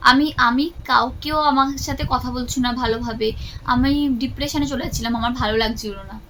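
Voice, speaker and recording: A woman's voice: a little high, steady tone, fairly quick, bright, forceful tone. A young adult woman, chatting casually and insistently on the phone as a vlogger. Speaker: vlogger making a prank call. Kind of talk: casual phone chat with a friend. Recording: faint background noise.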